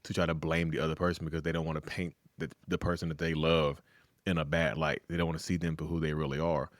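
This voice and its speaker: A man hosting a podcast in a casual conversational interview, animated, often acting out quoted voices. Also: extremely low pitch, fast, no room sound. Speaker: podcast host.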